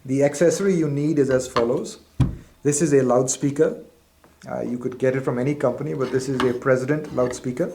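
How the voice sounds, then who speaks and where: A man's voice: medium pitch, a little fast, gravelly, noisy background. A man presenting a product in an instructional demonstration, explaining methodically step by step.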